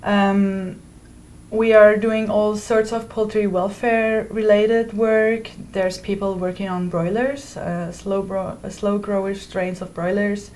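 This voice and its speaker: A woman's voice: medium pitch, level tone, takes it slowly, a little mellow, rough recording. A young woman from Switzerland, a PhD student, explaining her research in an interview with careful phrasing and some restarts. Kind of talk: interview answers. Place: indoor university room.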